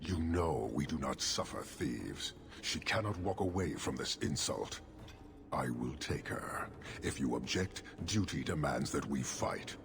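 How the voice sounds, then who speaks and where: An actor playing a stern, proud horned warrior leader in a video game, speaking formally and slowly with a commanding, gravelly manner. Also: male voice, very deep voice, animated, full character voice. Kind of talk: video game cutscene acting.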